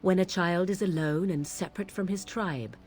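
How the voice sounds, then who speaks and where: A woman's voice: lowish voice, normal speed, darkish tone, soft background music, natural voice. An actress playing an aloof, sardonic young witch who speaks in a cool, old-fashioned way in a fantasy game. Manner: cool, archaic, mocking. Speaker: companion character in a fantasy game.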